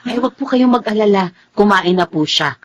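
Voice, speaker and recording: An actress playing a kind, deferential household helper in a radio drama, respectful and reassuring. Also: female voice, mid-range voice, animated, moderate pace, slight background noise, close to an everyday voice.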